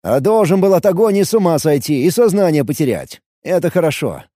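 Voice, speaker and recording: A man's voice: a little high, big pitch swings, a little fast, mellow, no echo, studio quality. A man playing a bold, brash fighter and leader with a commanding, urgent delivery in an audiobook. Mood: reassuring, bold.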